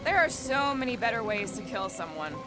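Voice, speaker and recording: A tough alien assassin in a video game. Her delivery is dry and blunt, in a high voice with lively movement in pitch, set against loud music. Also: fairly quick.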